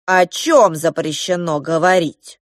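A woman voicing an indignant young male prince who speaks loudly and reproachfully in a storybook reading. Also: deep voice, dry recording, clean studio sound. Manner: loud, reproachful, drawn-out.

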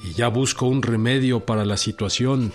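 Actor as an earnest revolutionary general, music behind. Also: male voice, mid-range voice, moderate pace, natural voice. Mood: grave, formal. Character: earnest revolutionary peasant general, grave and determined. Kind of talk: radio drama acting.

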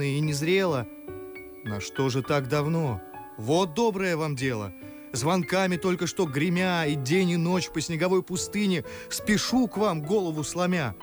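An actor playing a witty, talkative, mocking young gentleman in an ardent, ironic verse radio drama. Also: on the high side, close to an everyday voice. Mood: ardent, pleading. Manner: ardent, ironic, theatrical declamation. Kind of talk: Russian classical verse radio drama acting.

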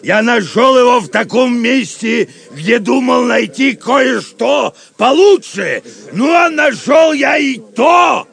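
An actor playing a boisterous, tipsy friar in an audio drama, swaggering and rambling. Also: male voice, very high voice, lively intonation, takes it slowly, gravelly, few pauses, a bit bright, raised voice, exaggerated voice. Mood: blustering, tipsy. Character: boisterous tipsy friar of a merry outlaw band.

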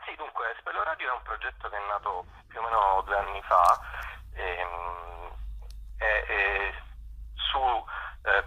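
A man being interviewed on radio by phone, explaining things in a halting way with many pauses. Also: low-pitched, sharp tone, breathy edge. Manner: hesitant, halting with frequent pauses. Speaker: community radio programmer, interview guest. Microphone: phone call. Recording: dull telephone call.

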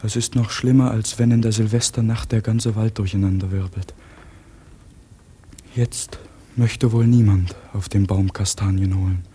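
Male actor, fearful ship's hand, anxious muttering, mellow, faint noise, radio drama. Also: fairly low pitch, steady tone, normal speed, lightly acted. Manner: anxious muttering, hushed at times. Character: timid, fearful, pious young ship's hand. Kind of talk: German radio drama acting.

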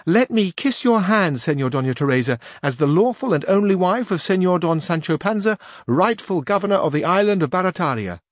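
A quick-witted, courteous young page, as a male reader puts him on. He speaks raised and eager, in a lively, courtly voice. The recording is dry and close. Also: sits high, no room sound, voice pushed up.

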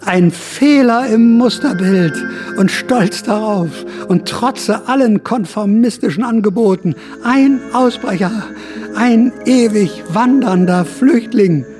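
A haunted old rebel storyteller with a notably high voice. He broods and rambles in radio-play monologue, paranoid yet defiant, with music underneath. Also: takes it slowly, a little mellow. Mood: defiant, exalted. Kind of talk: radio drama acting. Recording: music behind the voice.

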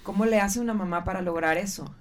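A woman's voice: mid-range voice, fast. A woman with a Mexican Spanish accent hosting a radio talk show, chatting informally and prompting her guests. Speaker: radio host.

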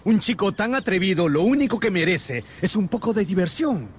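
A man's voice: sits high, very animated, a little fast, husky, exaggerated voice. An actor playing a cocky, mocking musketeer in a radio drama, taunting and swaggering as he speaks. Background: loud dramatic music.

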